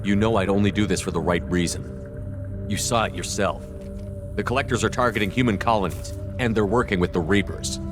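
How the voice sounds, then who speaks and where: Male game hero, an actor's role: blunt military commander, low voice, steady, music underneath. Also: brisk, lightly acted. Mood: firm, persuasive. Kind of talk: dramatic video game dialogue.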